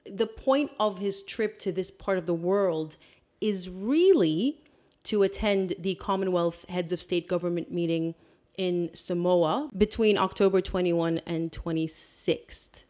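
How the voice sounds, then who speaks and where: A woman's voice: on the low side, measured pace, a little mellow. A woman in her thirties, not Australian-born, co-hosting a casual royal news podcast, chatty and emphatic as she reads from her notes, on a studio mic in a small room. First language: not Australian by birth. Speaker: podcast co-host. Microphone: studio mic on stand.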